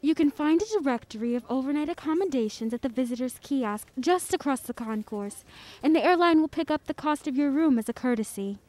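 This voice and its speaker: An actress playing a courteous, sympathetic airline desk agent with polite, formal service patter in a radio drama. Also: female voice, sits high, lively intonation, brisk, a little mellow, no echo, rough recording. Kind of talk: comic radio drama acting.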